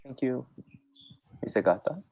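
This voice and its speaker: A man's voice: medium pitch, lively intonation, measured pace, dark tone, husky, highs cut off. A man moderating an online panel in a polite, semi-formal style. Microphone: online video call.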